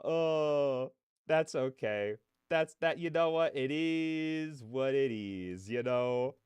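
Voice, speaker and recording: A man hosts a casual reaction livestream. His voice is mid-pitched, smooth and clean. He sits close to the mic in a very dry-sounding space. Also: expressive, takes it slowly, a little mellow, no echo. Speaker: streamer, reaction host.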